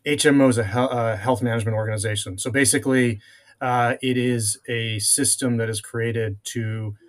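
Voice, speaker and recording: A middle-aged man from France speaks as an interview guest, recounting his working life. He talks in a measured, unhurried way and leaves frequent filler pauses. His pitch sits in an ordinary male middle range. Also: fairly even intonation. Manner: measured, many filler pauses, occasional chuckle. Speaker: guest, venture capital investor. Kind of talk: conversational interview answers.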